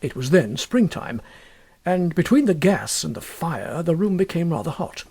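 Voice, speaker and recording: A male audiobook narrator reads with measured, deliberate expression. His voice is fairly high for a man and moves widely in pitch. It has a gravelly, creaky grain. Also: sing-song, a little mellow. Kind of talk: expressive scripted first-person story narration.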